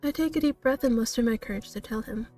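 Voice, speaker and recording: Female game streamer, higher voice, giggly and self-deprecating, casual read-aloud, music underneath. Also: fast, dark tone, distant mic. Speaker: streamer reading a visual novel aloud. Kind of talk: casual playthrough commentary and read-aloud narration.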